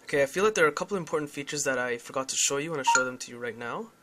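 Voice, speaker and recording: A man's voice: mid-range voice, expressive, rapid-fire, heavy background noise. A young man giving a casual, candid demonstration of a phone app, explaining things as he goes. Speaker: tech reviewer.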